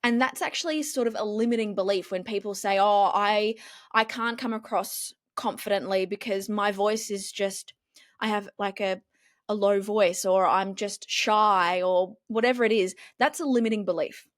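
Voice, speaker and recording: A woman, a TV journalist and confidence coach, talking in a casual interview with an expressive, strong reporter-style delivery. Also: medium pitch, moderate pace, no room sound, clean studio sound.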